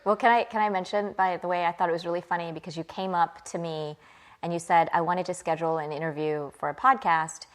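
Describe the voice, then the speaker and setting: A woman's voice: fairly low pitch, fairly even intonation, fast talker. A woman, an interview guest talking in a casual, reflective, conversational storytelling way. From born in England, grew up in small-town Virginia, USA. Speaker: interview guest, company CEO.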